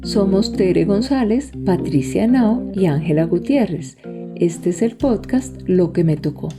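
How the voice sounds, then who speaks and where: A woman's voice: medium pitch, animated, measured pace. A woman, reading scripted podcast narration as host. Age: 60s. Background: guitar music.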